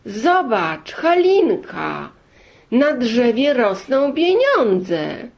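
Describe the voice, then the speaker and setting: A woman acts out a poor but joyful father from a village storybook. He talks slowly in a slightly creaky voice, open and eager, welcoming in short exclamations. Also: extremely high pitch, huge pitch swings, voice pushed up, full character voice. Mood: excited. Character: cheerful, hospitable poor father of a village family.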